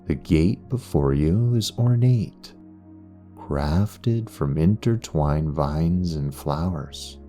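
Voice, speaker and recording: Man, guided meditation narration, low mellow voice, soothing delivery, music underneath. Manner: soothing, unhurried, with long pauses. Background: background music.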